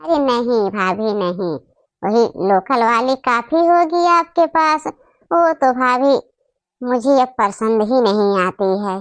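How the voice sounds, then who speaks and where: Woman voicing a high-pitched snobbish young married daughter. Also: lively intonation, slow pace, dry recording. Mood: boastful, disdainful. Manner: bragging, complaining. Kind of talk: Hindi family comedy cartoon acting.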